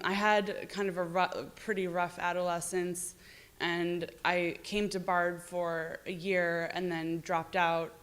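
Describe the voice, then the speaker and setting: Woman, American, lower-pitched voice. Informal author talk, candid, wandering sentences. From New York City, United States. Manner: rambling, candid, peppered with "like". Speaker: novelist discussing own book.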